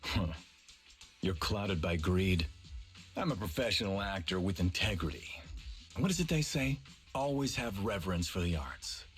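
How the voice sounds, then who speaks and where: A man's voice: deep voice, lively intonation, normal speed, long pauses, soft background music. A man voicing a proud, disdainful veteran tiger actor in a video game, with a haughty, pompous manner. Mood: scornful.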